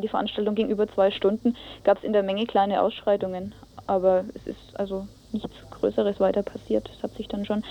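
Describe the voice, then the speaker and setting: A woman giving an informal eyewitness account as a radio reporter, often searching for words in a colloquial way. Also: mid-range voice. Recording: dull lo-fi radio tape.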